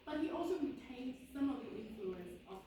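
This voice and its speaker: A woman from gives a relaxed, chatty classroom talk, often restarting her sentences. Her voice sits a little high for a woman. It is caught from across a very echoey room, with steady background noise. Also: a little fast, far from the mic. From Hong Kong. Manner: chatty, with frequent restarts and fillers. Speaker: guest speaker. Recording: echoey room recorded from afar, noisy.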